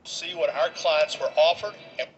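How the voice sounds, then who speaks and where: A man's voice: fairly high pitch, steady tone, moderate pace. A man talking on a radio broadcast, heard through a small radio speaker. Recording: dull lo-fi radio playback, faint noise.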